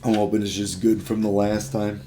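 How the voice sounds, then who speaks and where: A man with a raspy voice, chatting casually and rambling nostalgically while he sets up his console to stream from his living room. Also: fairly low pitch, level tone, moderate pace, dark tone, slight background noise. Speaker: streamer playing a game. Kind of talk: casual live-stream chat. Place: home living room with a couch.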